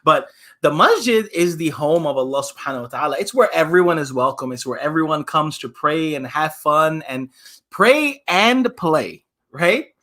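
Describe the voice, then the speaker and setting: A man, an imam, hosting a children's story time, reading and chatting in an animated, conversational way with questions for listeners. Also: on the high side, a little fast.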